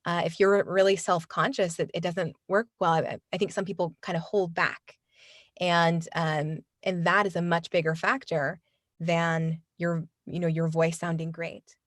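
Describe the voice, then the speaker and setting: A woman's voice: on the low side, level tone, a little slow, no echo, studio quality. Earnest woman voiceover coach. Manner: earnest, many restarts and fillers. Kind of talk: casual interview talk. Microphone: studio microphone.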